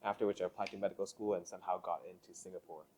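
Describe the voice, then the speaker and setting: Young male host from the Philippines, 20s; measured and hesitant, low register. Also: level tone, darkish tone, slight background noise. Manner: measured, hesitant, many fillers. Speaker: podcast host. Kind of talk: casual interview conversation.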